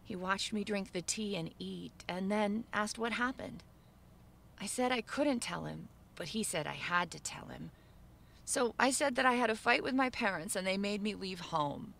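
A woman narrates a first-person story for an animated video in a measured, subdued way. Her voice has a gravelly, creaky edge and sits at a middle pitch. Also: slight background noise.

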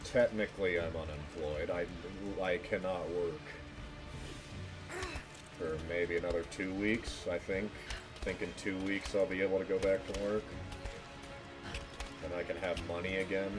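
A male streamer with a low voice chats casually as he plays. His joking is deadpan, and game music runs clearly behind him. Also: normal speed, long pauses. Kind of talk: casual live game commentary. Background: game music and sound.

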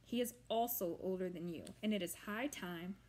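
A woman voicing an ancient, weary male swamp monster who speaks in a slow, grand and formal way. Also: medium pitch, a little mellow, natural voice, poor audio. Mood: drily pompous.

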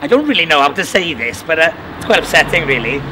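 A man's voice: a little high, big pitch swings, quick pace, background noise. A man playing an indignant, flamboyant middle-aged pantomime dame, theatrical, gossipy and overemotional. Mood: overemotional, aggrieved. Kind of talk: pantomime comedy acting. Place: outdoors on a street outside a shop. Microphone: handheld interview microphone. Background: music.

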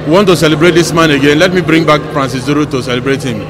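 A man's voice: fairly high pitch, steady tone, racing. A middle-aged man with a Nigerian accent giving interview answers as a stage director. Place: bare indoor backstage room. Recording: noisy room ambience.

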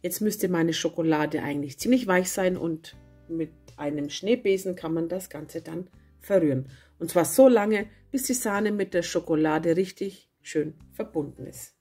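A woman with a cold and a hoarse voice, chatting casually as she narrates a home baking video and correcting herself as she goes. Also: on the low side, moderate pace. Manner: chatty, self-correcting, homely. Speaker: cooking channel host. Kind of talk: casual recipe voice-over. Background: soft guitar music.

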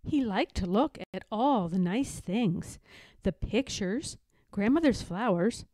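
A woman narrates a children's story at a slow, unhurried pace. Her pitch moves in a lively way, and the recording is close and dry. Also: no echo. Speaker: storybook reader. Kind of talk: expressive children's read-aloud narration.

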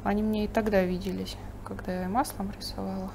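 A woman's voice: mid-range voice, fairly quick, gravelly, background noise. Woman painter talking through her work. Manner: thinking aloud while painting, calm and explanatory. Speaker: painting instructor. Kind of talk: painting demonstration with commentary. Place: studio table, overhead camera on the painting.

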